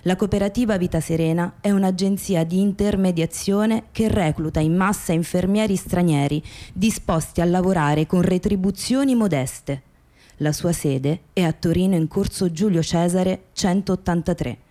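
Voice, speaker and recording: A woman narrating a scripted radio theatre documentary in a grave, deliberate manner. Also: fairly low pitch, dark tone.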